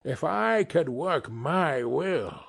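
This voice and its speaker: Man acting a grumpy, scornful old miser, gravelly. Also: on the high side, sing-song, slow, full character voice. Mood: indignant. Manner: indignant, stern, dismissive.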